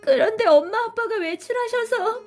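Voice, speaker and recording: A woman's voice: extremely high pitch, moderate pace, soft background music. A woman voicing a panicky, pleading young girl in a children's storybook, urgent and breathless. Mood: panicked, tearful. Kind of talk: children's storybook character acting.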